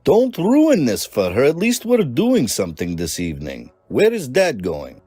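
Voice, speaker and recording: A man voicing a bratty monster kid in an animated horror cartoon, whiny and mouthy. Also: medium pitch, unhurried, breathy edge, no echo, faint music behind, heavily acted.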